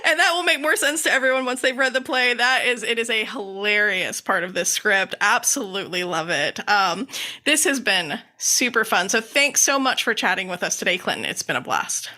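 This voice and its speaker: A woman's voice: medium pitch, animated, normal speed, bright, forceful tone. A woman hosting a casual podcast interview, effusive and encouraging with her guest. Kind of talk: casual, upbeat interview chat.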